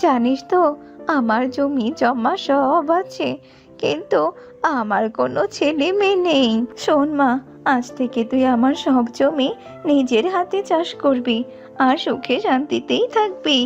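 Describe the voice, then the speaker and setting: A woman's voice: high voice, measured pace, creaky. A woman voicing a kindly, comforting old grandmother in a cartoon, with music playing behind her. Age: older. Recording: slightly dull audio with music behind.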